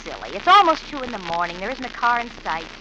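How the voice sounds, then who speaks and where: A woman acting as a mean, nagging young American wife in old radio drama. The delivery swoops sharply with scorn. The recording is muffled and clearly noisy. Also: mid-range voice, big pitch swings, fast talker, natural voice. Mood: scornful, nagging.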